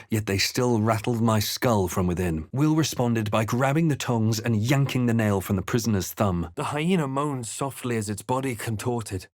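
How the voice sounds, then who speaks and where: A man voicing a grave, watchful fantasy hero in a video game, with measured, dramatic delivery. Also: medium pitch, no room sound, clean studio sound, close to an everyday voice. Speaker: video game player character voice actor. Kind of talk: scripted game narration and character lines.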